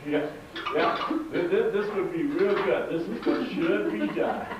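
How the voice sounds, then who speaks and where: A man's voice: high voice, animated, unhurried. A man voicing a vain, scheming royal adviser in an amateur puppet show, muttering to himself, stammering and exclaiming. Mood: gleeful, scheming. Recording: echoey hall from far off, dull, noisy.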